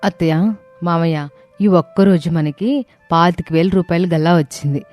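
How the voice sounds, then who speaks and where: A woman's voice: lowish voice, normal speed, a little mellow, soft background music, close to an everyday voice. Woman playing a dutiful, firm young daughter-in-law. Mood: encouraging.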